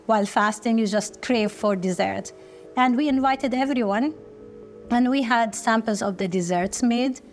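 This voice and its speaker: A middle-aged woman from Kuwait, speaking slowly and earnestly with short pauses in a documentary interview. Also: on the high side, soft background music. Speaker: business founder.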